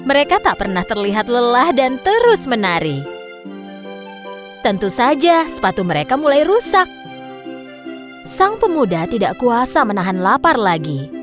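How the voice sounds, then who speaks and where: A woman narrating a children's fairy tale in a lilting, expressive storybook manner. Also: fairly high pitch, moderate pace, pauses often. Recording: clear music bed underneath.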